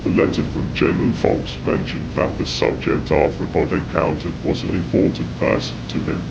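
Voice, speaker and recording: Very gravelly, low-voiced male horror narrator. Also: flat delivery, moderate pace. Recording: dull, noisy lo-fi audio with rumble.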